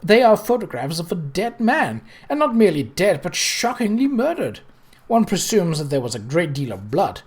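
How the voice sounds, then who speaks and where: An imperious, effusive society lady from an Edwardian storybook, acted out by a reader, swooping widely in pitch. She is theatrical and bossy, with a keen relish for scandal. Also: sing-song, moderate pace, slightly rough. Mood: scandalised.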